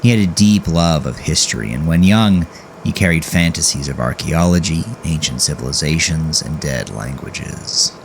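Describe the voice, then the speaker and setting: A man narrating a scripted dark horror story in a grave, measured, dramatic way, drawing out his words. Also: rumbling low, expressive, a little hiss or hum.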